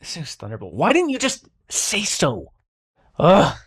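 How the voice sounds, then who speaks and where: A man playing a grumpy but reluctantly kind immortal dream-bringer in an ASMR roleplay, brusque and halting. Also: high voice, huge pitch swings, slightly rough, exaggerated voice. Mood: exasperated, grudging. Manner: brusque, exasperated, halting, softening into concern.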